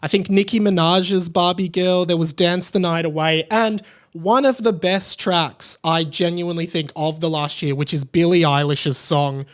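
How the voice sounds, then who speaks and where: A man's voice: fairly high pitch, fairly even intonation, moderate pace. A man in his thirties with an Australian accent hosting a podcast solo, chatty and rambling in casual commentary. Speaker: podcast host.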